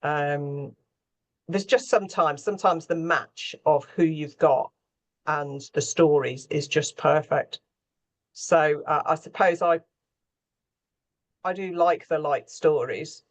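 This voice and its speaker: A woman talking conversationally and anecdotally as a scriptwriter on a panel. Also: sits low. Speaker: radio drama scriptwriter, panel guest.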